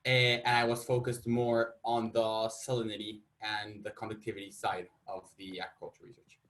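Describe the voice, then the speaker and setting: A man's voice: on the low side, level tone, normal speed, fairly bright tone, a little hiss or hum. A young man from Colombia, a student presenting his research in a casual, self-deprecating way. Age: young adult. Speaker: student panelist.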